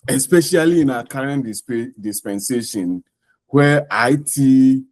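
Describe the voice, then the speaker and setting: A man with a Ghanaian accent answering interview questions off the cuff, in deliberate, halting and emphatic phrases. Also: mid-range voice, animated, darkish tone, no echo. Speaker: interview guest, scholarship winner.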